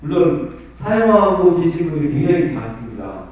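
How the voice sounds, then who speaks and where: A middle-aged man who is hoarse from a cold gives a polite, conversational presentation at a conference. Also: a little high, big pitch swings, normal speed, a little mellow. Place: large conference hall. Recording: very echoey hall, voice distant.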